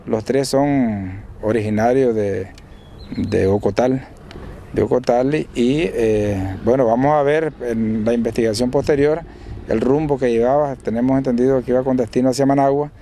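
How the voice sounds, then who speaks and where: Middle-aged police commander, measured and official. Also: male voice, medium pitch, warm tone, slight background noise. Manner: measured, official, halting restarts. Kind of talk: press statement at a roadside. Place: outdoors at a roadside checkpoint. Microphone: handheld reporter mics.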